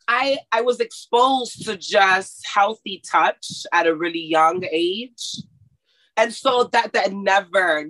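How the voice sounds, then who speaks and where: Bright-voiced woman chatting as guest. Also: fairly low pitch, poor audio. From grew up in Mexico, schooled in Texas. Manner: chatty, laughs often, many restarts. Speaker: massage therapist, interview guest. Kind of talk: casual interview chat.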